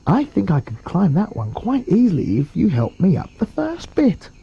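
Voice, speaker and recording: A man voicing an eager young boy in a storybook reading, talking brightly. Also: high voice, wildly swooping pitch, normal speed, creaky, breathy edge, lo-fi sound, exaggerated voice. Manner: eager, chatty.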